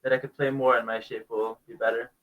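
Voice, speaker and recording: A man's voice: mid-range voice, level tone, fast, phone-quality sound. A young man from Canada, answering interview questions over a video call in a laid-back way, chuckling now and then. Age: young adult. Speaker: guest.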